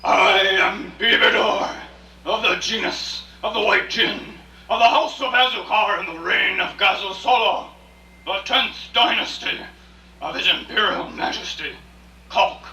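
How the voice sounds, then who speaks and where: An actor playing a grand ancient genie, proclaiming slowly and pompously in a live radio drama. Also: medium pitch, pushed and bright. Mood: grandiose. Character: grand, booming ancient genie of imperial dynasty. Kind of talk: stage radio drama acting. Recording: roomy far-off rehearsal recording.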